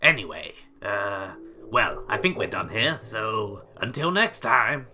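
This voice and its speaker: An actor playing an eccentric, breezily callous, self-important scientist, musing to himself as presenter in an audio drama monologue. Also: male voice, lowish voice, expressive, slow, background noise. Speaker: in-story podcast presenter.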